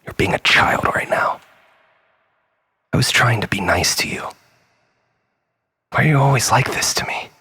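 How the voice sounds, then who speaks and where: A man playing a bitter, manic villain in a comic dramatic monologue, taunting theatrically in a breathy rasp. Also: mid-range voice, normal speed, long pauses, bright, forceful tone, in a whisper, pitched above the performer's own voice, heavily acted. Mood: seething. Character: unhinged gloating male villain, bitter and manic.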